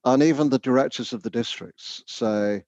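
A man's voice: mid-range voice, normal speed, a little mellow, dry recording, highs cut off. A man from the north of England with a Northern English accent, answering interview questions thoughtfully with frequent fillers and restarts. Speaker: education researcher.

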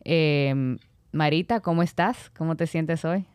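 A woman's voice: deep voice, measured pace, darkish tone, clean tone, dry recording, studio quality. A woman with a Dominican accent hosting a podcast, upbeat and enthusiastic. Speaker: podcast host. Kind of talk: podcast interview.

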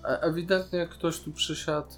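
A man's voice: fairly high pitch, fairly even intonation, normal speed, faint music behind. A man, co-hosting a podcast and discussing a series casually, in an opinionated, questioning manner. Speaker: podcast co-host.

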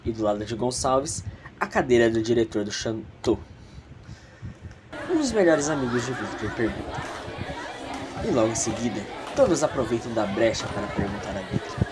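A man's voice: mid-range voice, animated, moderate pace, pauses often, a crowd in the background, noisy background. A man with a Brazilian accent narrating an audio drama theatrically, with casual, playfully snobbish asides.

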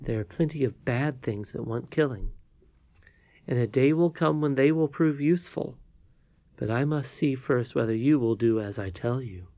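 A man voicing a kindly, mysterious old woman in a storybook, gently and firmly admonishing. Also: medium pitch, normal speed, dry recording, muffled recording, lightly acted. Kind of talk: storybook character reading.

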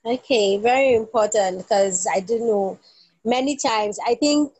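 A woman's voice: on the high side, measured pace, darkish tone, breathy edge, no echo, rough recording. A young woman, hosting a podcast and talking conversationally. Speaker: podcast host. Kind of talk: conversational interview.

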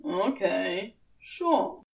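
A woman's voice: mid-range voice, sing-song, very slow, gravelly, recorded from a distance, lo-fi sound, voice pushed down, full character voice. A woman voicing a wry, nonchalant male demon with a curt manner in an audiobook story. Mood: casual. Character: offhand, wry male demon, grudgingly fond.

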